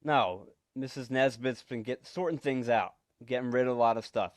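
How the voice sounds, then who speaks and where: A man's voice: mid-range voice, moderate pace, no room sound, clean studio sound, lightly acted. A man acting a nervous, secretive husband with menace under his evasive stammer, in 1940s radio suspense style. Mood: evasive, uneasy. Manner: stammering, evasive, repeats words.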